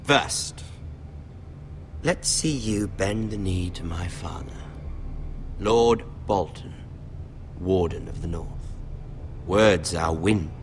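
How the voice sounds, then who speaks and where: An actor playing a cruel, sadistically playful young lord in a fantasy game, taunting with deliberate mock politeness. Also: male voice, low voice, slight background noise. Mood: menacing taunts. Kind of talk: dramatic fantasy game acting. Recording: music under dialogue.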